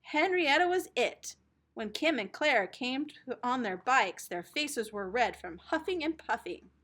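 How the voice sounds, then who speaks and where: This is a woman reading a picture book aloud like a teacher to young children. Her voice is high and bright, and she tells the story expressively, with very lively pitch movement. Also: measured pace.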